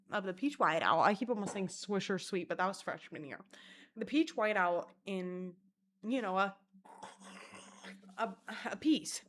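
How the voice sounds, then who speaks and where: A woman vlogger talks straight to her viewers in a loose, rambling way. Her voice sits in a middle pitch, and her delivery is profane and self-mocking. She often bursts into loud laughter. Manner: crude, profane, rambling storyteller. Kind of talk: casual storytelling vlog.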